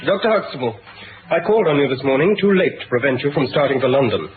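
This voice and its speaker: A male actor plays a duke's officious secretary in a vintage radio play. He speaks rapidly in a formal, reproving way at an average pitch. The recording is thin and boxy. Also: animated, lightly acted. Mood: reproving, brisk. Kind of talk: 1960s radio drama acting. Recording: narrow-band old radio recording, faint hiss.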